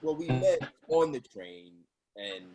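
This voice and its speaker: A man's voice: medium pitch, very animated, slow, warm tone. A man acting a nervous, eager-to-please young son in a play reading, evasive and flustered.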